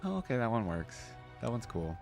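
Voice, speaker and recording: Very low-voiced woman reacting casually. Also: very animated, brisk, vocal fry, music in the background. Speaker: reaction video host.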